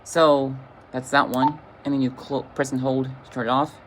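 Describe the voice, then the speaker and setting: A woman giving a casual, informal unboxing demonstration as a product reviewer, speaking haltingly with vague, everyday wording. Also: extremely low pitch, flat delivery, slight background noise, rough recording.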